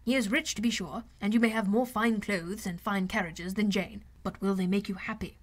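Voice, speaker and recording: A wry older gentleman father from a classic novel audiobook, played by a woman. The delivery is measured, dry and formal, with a fond, advising temper. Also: medium pitch, steady tone, lightly acted. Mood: sceptical. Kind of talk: audiobook character voice.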